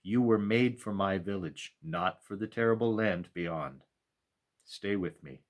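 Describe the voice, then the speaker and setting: A man plays a wise, big-hearted storybook father figure with a low voice. He speaks solemnly and gently, in measured phrases. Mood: solemn warning.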